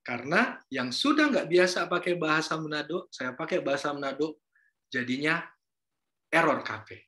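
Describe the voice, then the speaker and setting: Chatty lecturer, bright voice. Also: male voice, a little high, lively intonation, normal speed. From North Sulawesi, Indonesia. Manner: casual, chatty, mixes in Javanese and English words, asks students questions. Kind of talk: university lecture.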